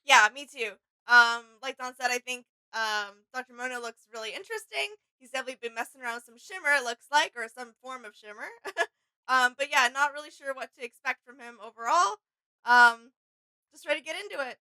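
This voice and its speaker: A woman co-hosts a reaction video in relaxed, informal conversation. Her voice is high and strikingly bright, with a sharp, clear-cut edge. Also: brisk, poor audio. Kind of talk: casual reaction chat.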